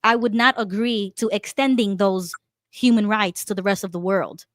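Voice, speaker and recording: A woman's voice: mid-range voice, normal speed, no echo, studio quality. A woman in a casual political discussion, talking animatedly with restarts and laughs. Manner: animated, laughing, repeats herself. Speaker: panelist.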